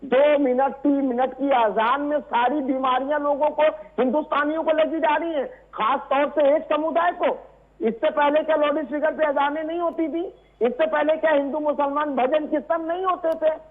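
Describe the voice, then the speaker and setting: A man's voice: extremely high pitch, steady tone, normal speed, narrow, tinny audio. A man arguing indignantly and emphatically as a debate guest over a remote call. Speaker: religious scholar, debate guest. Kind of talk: argumentative TV debate. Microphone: remote call link. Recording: thin, band-limited remote call audio.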